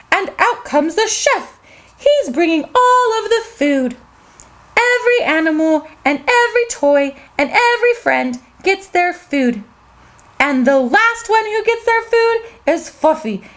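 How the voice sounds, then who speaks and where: A woman reading a children's story aloud in an animated, sing-song way as the host. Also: very high voice.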